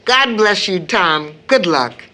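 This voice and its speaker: An actress playing a devout, gentle middle-aged mother in an audio drama, earnest and measured. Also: medium pitch, expressive. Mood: affectionate. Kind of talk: stage-style audio drama acting.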